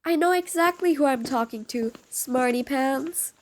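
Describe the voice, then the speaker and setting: A woman's voice: high voice, unhurried, slight background noise. A woman playing a mean but patient supervillain in an audio roleplay, sarcastic, dry and exasperated, speaking straight to the listener. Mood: grudging, teasing. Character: mean but patient female supervillain, sardonic, grudgingly soft.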